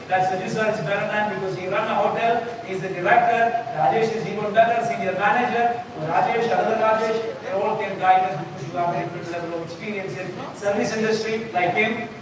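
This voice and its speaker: A man with a Kerala English accent hosts a live event, joking and rambling as he moves things along. His voice sits fairly high for a man. It comes from far off in a hall, with long echoes and constant background noise. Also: steady tone, fairly quick, few pauses. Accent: Indian English (Kerala). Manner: chatty, joking, loose and rambling. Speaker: host and organizer.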